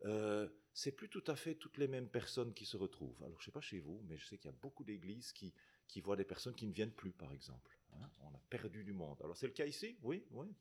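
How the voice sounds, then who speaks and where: A man's voice: on the low side, a little mellow, breathy edge. A middle-aged man from Belgium with a Belgian French accent, preaching to a congregation in a chatty way, often tagging his sentences with questions and restarting them. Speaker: guest preacher. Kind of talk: sermon to a congregation.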